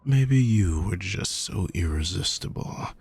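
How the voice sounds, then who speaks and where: A man playing a possessive, menacing aristocratic villain in a roleplay audio, with a husky, gravelly voice and a condescending, sardonic manner, speaking intimately to the listener. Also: deep voice, animated, unhurried, a little mellow, slight background noise. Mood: mocking, amused. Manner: smooth-worded, condescending, darkly sardonic.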